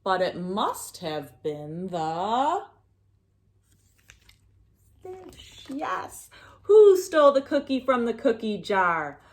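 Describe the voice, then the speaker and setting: A woman giving an expressive, sing-song picture-book read-aloud for young children, pausing to prompt her listeners. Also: medium pitch.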